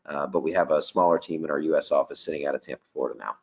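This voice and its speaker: A man giving a casual software product presentation in a webinar, with lots of "um" and "you know". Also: a bass voice, rapid-fire, gravelly, husky, muffled recording. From not Danish. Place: office.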